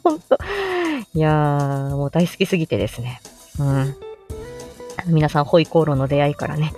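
A woman's voice: deep voice, normal speed, dark tone, soft background music. A woman rambling casually to her listeners as a stream host, giggly and self-deprecating. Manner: giggly, self-deprecating, laughs often at herself.

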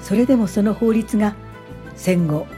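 A woman's voice: mid-range voice, moderate pace, dark tone, soft background music, lightly acted. A woman acting in a Japanese radio drama as a composed, earnest and determined welfare pioneer, speaking articulately. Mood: sober, reflective.

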